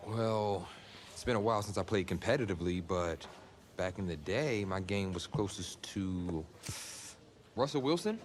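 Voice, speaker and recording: A man's voice: low-pitched, normal speed, slight background noise, close to an everyday voice. A man playing a confident, earnest young backup quarterback in a video game cutscene, talking casually. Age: young adult.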